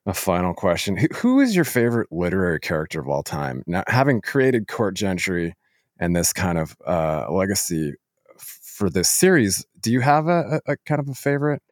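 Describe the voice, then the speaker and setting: A man hosting a casual interview, speaking in a halting, appreciative way to his guest. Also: deep voice, very animated, dry recording, clean studio sound. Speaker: podcast host.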